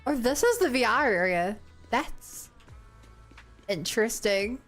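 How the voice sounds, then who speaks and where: A woman's voice: a little high, expressive, measured pace, a bit bright, soft background music. A woman from New Zealand with a New Zealand accent, chatting casually and reacting as she livestreams a game.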